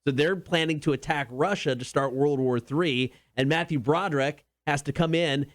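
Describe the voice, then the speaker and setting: A man's voice: fairly high pitch, moderate pace, dry recording. A man co-hosting a podcast, telling stories casually with slangy, emphatic enthusiasm. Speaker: podcast co-host. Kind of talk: casual chat and storytelling.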